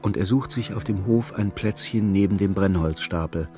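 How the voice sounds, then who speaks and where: A man's voice: lowish voice, moderate pace, warm tone, soft background music, lightly acted. A man playing the sober storyteller of a radio play, reading scripted literary narration. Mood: grave narration. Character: sober male storyteller recounting a hero's misery.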